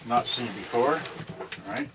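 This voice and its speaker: A man talks casually to the camera as he handles things, thinking aloud in loose, halting fragments. His voice sits at an ordinary middle pitch. The recording picks him up from a distance, with heavy noise and clicks around him. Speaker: vlogger unboxing and testing a vintage cassette radio. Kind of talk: unscripted casual narration while handling items.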